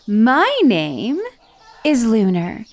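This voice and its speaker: A woman's voice: on the high side, sing-song, very slow, full character voice. A woman voicing a bubbly, doting female titan head who fusses and gushes in an ASMR roleplay. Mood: fussy. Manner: fussy, gushing, prim.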